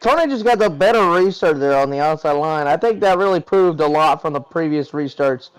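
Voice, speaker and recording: A man's voice: a little high, expressive, fairly quick, clear, resonant tone, rough recording. A man calling an online sim race as the play-by-play host, chatty and conversational. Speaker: play-by-play commentator. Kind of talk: sim racing broadcast commentary.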